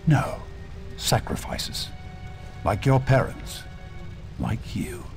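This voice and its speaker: A man playing a ruthless leader of a secret order in a game cutscene, speaking in solemn, measured pronouncements over a score. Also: medium pitch, very animated, vocal fry, loud music behind the voice, full character voice. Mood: cold conviction. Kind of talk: dramatic video game cutscene acting.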